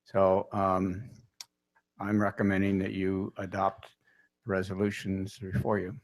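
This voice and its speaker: Man from city staff, lowish flat voice, halting report full of ums. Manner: hesitant, many fillers. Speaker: city public works staff. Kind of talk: staff report at council meeting.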